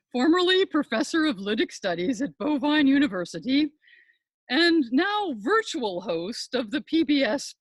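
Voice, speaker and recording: An older woman with a high, widely swooping voice plays a pompous professor. She delivers a scripted comic monologue in florid, deliberate academic phrasing. The sound is dry and close, with little room to it. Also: no echo. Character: pompous older female professor hosting a podcast. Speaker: monologue performer, podcast host.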